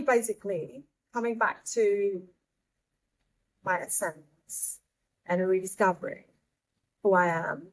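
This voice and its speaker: Woman, 30s, from Vietnam, hosting a casual chat; playful, quick to interject. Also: mid-range voice, rough recording. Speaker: podcast host. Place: outdoors in Bali.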